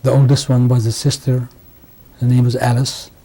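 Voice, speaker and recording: A man in his sixties from Hungary, giving oral history testimony in a halting way, with many restarts and pauses. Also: mid-range voice, completely flat delivery, dark tone. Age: 60s. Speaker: interviewee. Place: home interview.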